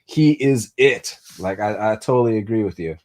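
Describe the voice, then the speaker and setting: Young man, stream host, lowish voice, casual, swears a lot, lots of "uh" and "like", raised speaking Spanish first. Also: moderate pace, a little mellow. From United States. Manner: loose, profane, full of fillers and restarts. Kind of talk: casual live-stream chat answering viewers.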